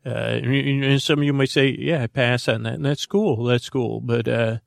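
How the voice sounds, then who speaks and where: A man hosting a bedtime podcast with a creaky voice, rambling in a halting, goofy, improvised monologue that meanders into tangents. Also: medium pitch, steady tone, no echo, clean studio sound. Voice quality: gravelly, creaky. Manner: goofy, whimsical. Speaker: podcast host.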